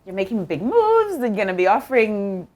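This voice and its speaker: A woman's voice: mid-range voice, very animated, moderate pace, darkish tone. A woman from Zimbabwe hosting an interview, effusive and encouraging, often laughing as she praises her guest. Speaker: host. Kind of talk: warm interview chat.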